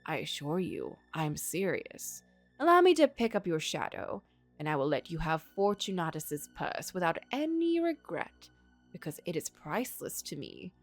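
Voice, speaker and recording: A woman voicing a sly, courtly gentleman in a story game, coaxing and insistent as he offers magical bargains. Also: deep voice, huge pitch swings, normal speed, soft background music, pitched below the performer's own voice, heavily acted.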